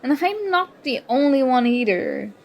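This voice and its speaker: Casting: female performer as sarcastic college girl in an audio roleplay, highish voice, unhurried delivery, confrontational and wounded pride. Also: sing-song, gravelly, highs cut off, exaggerated voice. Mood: accusing, hurt. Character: indignant young woman student, sarcastic former school enemy. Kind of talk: ASMR roleplay acting, one side of a dialogue.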